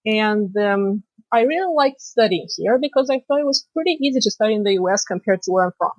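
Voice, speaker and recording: A woman from Moldova with a Russian accent, native Romanian speaker, telling her story as an interview guest with lively storytelling. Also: medium pitch, fast talker, dry recording, dull audio. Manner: lively storytelling, laughs easily. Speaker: guest, financial planner. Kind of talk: casual interview chat.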